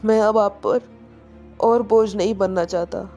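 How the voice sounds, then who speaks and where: A woman's voice: mid-range voice, steady tone, measured pace, mellow, dull audio, faint music behind, natural voice. A woman voicing a resolute, earnest young man standing firm in a dramatised story. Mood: firm, hurt. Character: resolute young man defying his grandfather.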